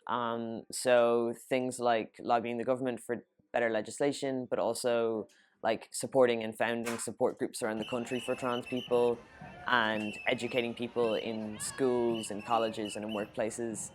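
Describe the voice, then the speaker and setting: A man's voice: mid-range voice, slight background noise. A young man with an Irish accent, speaking earnestly in a documentary interview with frequent ums and uhs. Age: young adult. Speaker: student interviewee.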